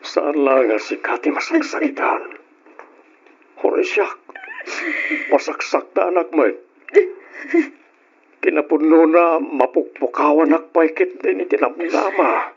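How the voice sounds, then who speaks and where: A man's voice: fairly high pitch, very animated, takes it slowly, creaky, pauses often, slightly rough, heavily acted. A worried Ilocano father, muffled recording. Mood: concerned consoling. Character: worried husband and father, gently consoling. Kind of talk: old-time radio drama acting. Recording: muffled old radio recording.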